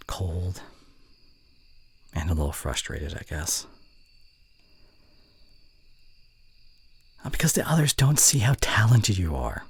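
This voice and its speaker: College-age male romantic lead for ASMR roleplay, acted by a man: very low, very husky voice, soft and hesitant, fondly teasing. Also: full character voice. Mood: wistful, tender. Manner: soft, hesitant, tender delivery with whispered asides. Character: young male college voice actor, fond, teasing, reserved romantic. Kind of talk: intimate ASMR roleplay audio acting.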